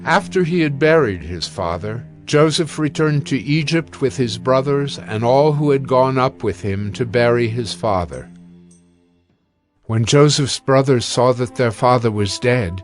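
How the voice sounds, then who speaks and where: A man narrating scripture in a solemn, measured and formal scripted style. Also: mid-range voice, expressive, soft background music.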